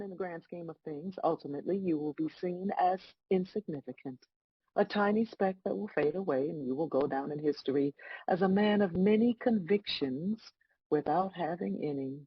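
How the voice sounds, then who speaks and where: A woman playing God as a stern, composed judge, delivering measured, scolding lines in a dramatic script read. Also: medium pitch, breathy edge, no echo, highs cut off.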